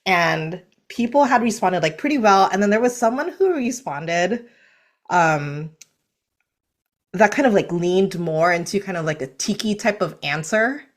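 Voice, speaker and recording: A woman's voice: mid-range voice, measured pace. A woman from Hawaii telling stories in a casual panel chat, acting out quoted lines as she goes. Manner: animated storytelling with quoted asides.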